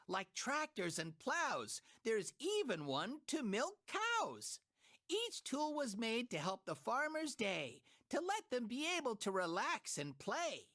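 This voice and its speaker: Playful, boastful kids' game guide, voiced by a woman: theatrical rhymes, hugely swooping intonation, slightly high voice. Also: a bit bright, exaggerated voice. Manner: theatrical, emphatic rhyming. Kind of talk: scripted rhyming children's educational narration.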